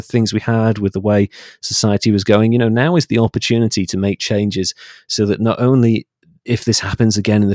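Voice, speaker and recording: A man's voice: fairly low pitch, a little mellow, dry recording, clean studio sound. A man speaking as a podcast guest, giving reflective, reassuring explanations in a conversational interview style.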